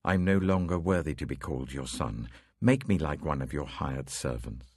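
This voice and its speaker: A British man plays a humbled, desperate younger son in a scripture story. The voice is very low and husky, with earnest, pleading swings in pitch. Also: expressive, moderate pace, no echo, clean studio sound, full character voice. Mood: humble, penitent.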